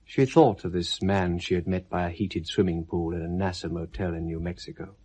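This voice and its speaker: A man performing as the narrator of a radio space drama, measured, literary and portentous. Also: low voice, steady tone, a little mellow, lightly acted. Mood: hushed, descriptive.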